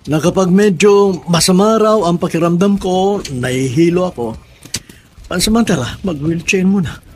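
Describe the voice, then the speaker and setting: A Filipino man playing an emotional older uncle, an ailing retired colonel, in a measured, sentimental radio drama style. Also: a little high, darkish tone, slight background noise, natural voice.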